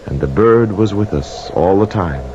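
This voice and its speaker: A man's voice: sits low, animated, moderate pace. An actor playing a guilt-ridden sailor telling his tale in solemn, archaic words in old-time radio drama style. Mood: brooding. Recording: muffled, noisy vintage radio recording.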